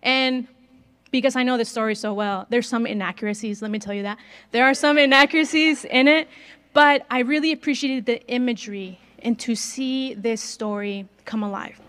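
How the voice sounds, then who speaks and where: A woman's voice: fairly high pitch, moderate pace, fairly bright tone. A woman in her twenties from northern Mexico, Spanish her first language, with a slight Mexican accent, giving a chatty sermon into a handheld mic in a large gym and asking the audience questions. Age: 20s. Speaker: guest speaker. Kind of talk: conversational sermon.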